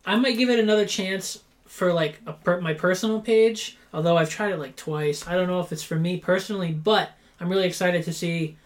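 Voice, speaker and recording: A man co-hosting a podcast, with a soft, nasal voice and a hesitant, laid-back way of talking. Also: sits high, brisk, hardly any pauses. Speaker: podcast co-host. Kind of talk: casual chat.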